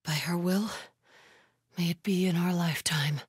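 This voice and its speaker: An actress playing a devout, stern, vigilant cult scout in a video game, speaking in a hushed, breathy, solemn way. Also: female voice, on the low side, fairly even intonation, moderate pace, dry recording, studio quality. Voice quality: breathy, hushed, often whispery. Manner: solemn, guarded.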